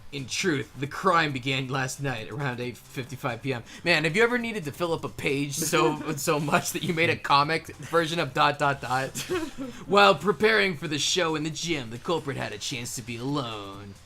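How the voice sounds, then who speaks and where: A man's voice: on the high side, lively intonation, normal speed, sharp tone, soft background music. A man doing a dramatic scripted reading that he breaks up with joking, casual banter. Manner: jokey, stumbles over lines and breaks off into banter. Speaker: fandub performer reading the game's case summary.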